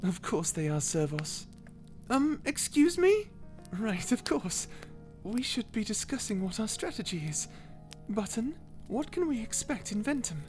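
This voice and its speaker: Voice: mid-range voice, very animated, measured pace, darkish tone, faint music behind, pitched above the performer's own voice. A performer voicing a kind guardian angel in an ASMR roleplay, soothing, earnest and encouraging. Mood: bright, polite. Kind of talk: ASMR roleplay character acting.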